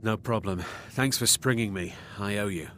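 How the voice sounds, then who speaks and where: A man's voice: fairly low pitch, moderate pace, a bit bright, slight background noise, natural voice. An actor playing a wary male thief held prisoner in a fantasy video game dungeon. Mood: wary, grateful.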